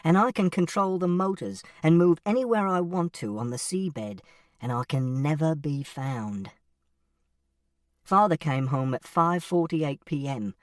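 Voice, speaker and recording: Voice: mid-range voice, a little slow, dry recording, clean studio sound, distant mic, natural voice. A narrator voicing a literal-minded, earnest teenage boy who tells his story in a precise, matter-of-fact way. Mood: earnest, dreamy. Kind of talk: first-person audiobook storytelling.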